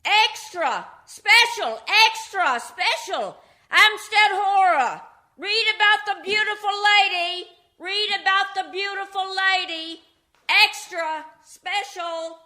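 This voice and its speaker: A woman's voice: extremely high pitch, takes it slowly, sharp tone, heavily acted. A woman playing a street newspaper seller crying out headlines in a radio play. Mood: urgent hawking.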